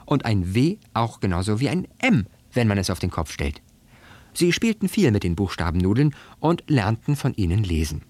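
Animated man reading a children's story. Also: on the low side, normal speed, dry recording. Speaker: story reader.

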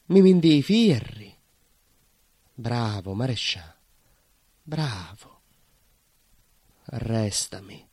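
A man's voice: mid-range voice, a little mellow, dry recording, heavily acted. A man playing an imprisoned baker, bitter, lovelorn and simmering with rage, in a theatrical monologue with a Calabrian southern Italian accent and short, repeated phrases. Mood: bitter, submissive. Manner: short broken phrases, insistent repetition. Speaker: stage actor in a monologue.

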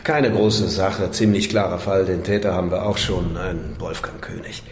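Radio play: male actor as jaded detective inspector, lowish voice, dry and sardonic, in a reverberant room. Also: normal speed, close to an everyday voice. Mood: grudging, matter-of-fact. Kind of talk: German radio crime drama acting. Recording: echoey room with faint noise.

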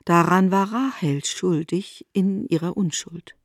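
A woman narrating a literary radio drama with articulate, expressive delivery and deliberate emphasis. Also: lowish voice, soft background music.

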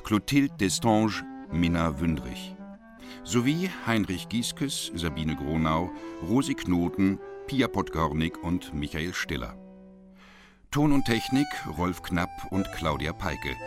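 A man's voice: sits low, big pitch swings, takes it slowly. A man reading scripted titles and credits as the announcer of a German radio play. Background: music.

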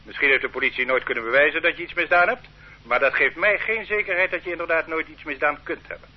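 Stern, bullying state interrogator. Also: male voice, on the high side, fast, husky. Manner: bullying, condescending. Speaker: official interrogating a suspect. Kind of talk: radio drama acting. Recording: dull old radio recording with faint hum.

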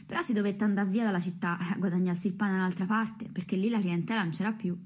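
A woman's voice: medium pitch, steady tone, fast talker, slightly rough, close to an everyday voice. A woman playing a hardened, matter-of-fact country prostitute, telling her tale colloquially in a radio drama. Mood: defiant, wry. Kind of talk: theatrical storytelling in radio drama.

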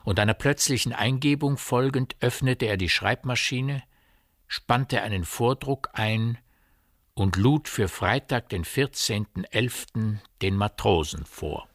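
A man with an Austrian accent narrating a radio drama in measured, literary, faintly ironic storytelling. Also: mid-range voice, fairly bright tone, no echo, studio quality. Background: prominent brass music.

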